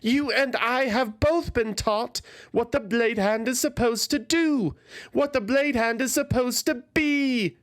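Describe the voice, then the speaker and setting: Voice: medium pitch, slow, pitched above the performer's own voice, lightly acted. A narrator voicing an anguished young feathered warrior woman in a fantasy audio story, impassioned and pleading, full of despair and self-loathing.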